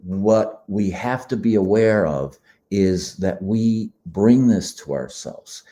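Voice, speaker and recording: A man speaks slowly and gently in a very low voice, a little froggy and hoarse. His tone is dark and mellow, unhurried, like a meditative spiritual talk recorded in a quiet room. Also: expressive. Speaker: podcast host. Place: quiet beach condo.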